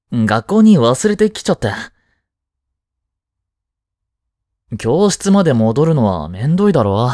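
A man's voice: medium pitch, big pitch swings, normal speed, dark tone, dry recording, clean studio sound, natural voice. A man playing a flustered teenage boy jealous over his childhood friend in a romantic voice drama, boyish and hesitant. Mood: awkward, cheeky. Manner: boyish, hesitant, muttering to himself. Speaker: amateur voice-drama actor. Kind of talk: Japanese romantic voice drama acting.

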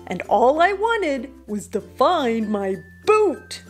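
A woman voicing a big, well-meaning, clumsy male giant in a children's story, speaking slowly and emphatically. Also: sits high, sing-song, faint music behind, full character voice. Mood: dismayed. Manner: slow, emphatic.

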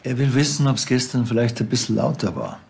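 Dry middle-aged policeman, Bavarian accent, gravelly, colloquial, sardonic, TV crime comedy. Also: medium pitch, big pitch swings, fairly quick, very noisy. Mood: blunt, dry.

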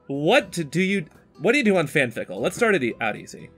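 A young man hosting a casual podcast in an animated, teasing way, bantering while drawing. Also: fairly high pitch, a little fast, breathy edge, faint music behind. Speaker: podcast host.

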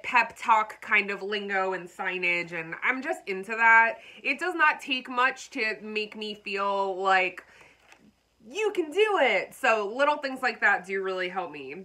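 A woman chatting brightly to her viewers in an unboxing vlog, a bit congested and froggy. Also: fairly high pitch. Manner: bubbly, talks straight to viewers. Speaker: vlogger.

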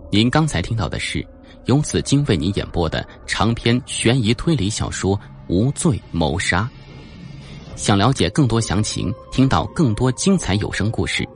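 A man reading a scripted suspense novel as an audiobook narrator, with a dramatic storytelling delivery. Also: fairly low pitch.

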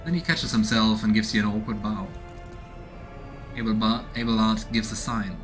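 A man's voice: lowish voice, flat delivery, normal speed, a bit bright. A man in his thirties doing casual game commentary and reading narration aloud as he streams. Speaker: let's play streamer voicing a game. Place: small room indoors at home. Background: game music.

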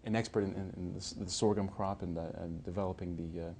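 A young man interviewing a guest in a small studio, asking hesitant, halting questions with many restarts. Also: low voice, steady tone, vocal fry, dark tone, slight background noise. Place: small studio set indoors.

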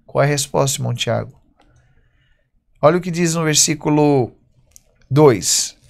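A man in his thirties with a Brazilian accent, preaching a sermon at a church pulpit, reading verses slowly and then speaking in quick bursts. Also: fairly high pitch, gravelly, darkish tone. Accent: Brazilian Portuguese. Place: church stage at a wooden pulpit.

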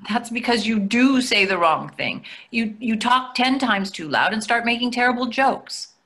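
A woman's voice: on the high side, expressive, normal speed, pushed and bright. An older woman reading the part of a practical, exasperated wife in a stage play, dry and matter-of-fact. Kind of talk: readers theatre play reading. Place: plain room indoors, video call.

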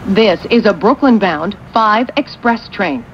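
An American woman delivers scripted subway announcements in measured, formal phrases. The sound is muffled, recorded aboard a train with faint vehicle noise behind her. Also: expressive. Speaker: transit announcer. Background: train rumble and vehicle noise.

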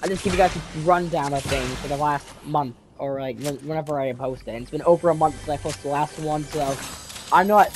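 A man's voice: on the high side, a little fast. A teenage boy with a cold, rambling through casual gaming commentary with many fillers, restarts and repeated words. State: congested from a cold. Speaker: gaming YouTuber. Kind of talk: casual vlog commentary over gameplay.

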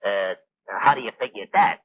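An actor playing a cocky, slow-witted, skeptical bomber gunner in a 1940s cartoon, talking in folksy slang with a rough, husky voice. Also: male voice, fairly low pitch, animated. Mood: doubtful. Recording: muffled, tinny 1940s film soundtrack.

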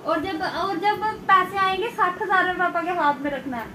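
A woman's voice: very high voice, normal speed, sharp tone, a little hiss or hum. A middle-aged woman, the vlogger's mother, teasing her son at home. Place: small room at home.

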